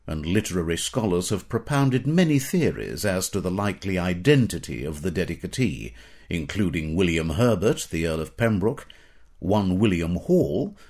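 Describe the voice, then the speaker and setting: Expressive, low-pitched British male narrator. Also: slightly rough. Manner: measured, formal, literary delivery. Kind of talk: scripted educational narration.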